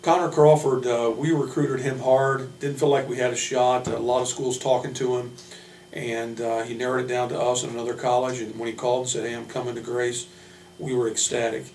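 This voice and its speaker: A man speaking as a basketball coach, giving informal player introductions in a measured, hesitant way with frequent pauses. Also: medium pitch, level tone, darkish tone. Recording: roomy, distant mic, faint hum.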